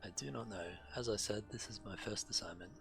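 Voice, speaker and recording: A man streaming a game, reading quest text aloud in a measured way, now and then stumbling and correcting himself. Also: lowish voice, gravelly. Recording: game music behind the voice. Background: video game music.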